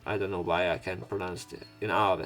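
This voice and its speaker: A low-pitched man narrates a visual novel as a let's play host over clear background music. He reads the text aloud, adds casual remarks, and trips on words before fixing them. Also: steady tone, fairly quick, a bit bright. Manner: stumbles over words and corrects himself. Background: game music behind the voice.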